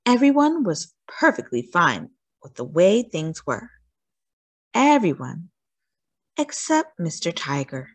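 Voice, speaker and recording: A woman's voice: on the low side, sing-song, slow pace, no room sound. A woman reading a children's story aloud expressively, stretching words out for theatrical emphasis.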